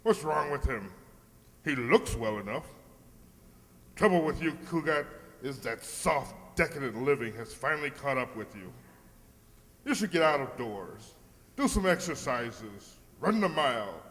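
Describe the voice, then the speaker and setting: Man playing pompous artist, boldly swooping pitch. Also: sing-song, unhurried, fairly bright tone. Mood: scornful, bossy. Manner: pompous, declaiming. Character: arrogant, hearty, virile artist, pompous and bossy. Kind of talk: old-time radio comedy acting, re-created. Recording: echoey hall, long reverb.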